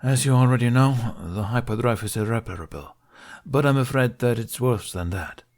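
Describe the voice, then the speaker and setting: A man's voice: medium pitch, moderate pace, a little mellow, pitched above the performer's own voice, close to an everyday voice. A man voicing a weary military commander. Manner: tired, plain-spoken, dutiful. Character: weary male military commander reporting grim damage. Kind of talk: acted audiobook dialogue in a science fiction story.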